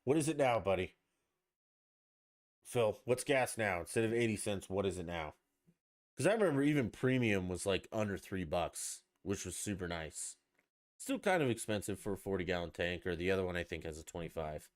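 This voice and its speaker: Casting note: podcast host, low voice, lively swooping delivery, profane and rambling. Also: male voice, moderate pace. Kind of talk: casual solo podcast chat.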